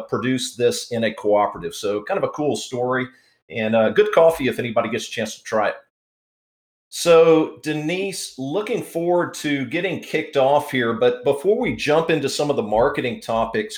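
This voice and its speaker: A man hosting an interview, chatting in a relaxed way with occasional fillers before he asks his questions. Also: medium pitch, echoey room. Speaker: interview host. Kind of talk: casual interview talk.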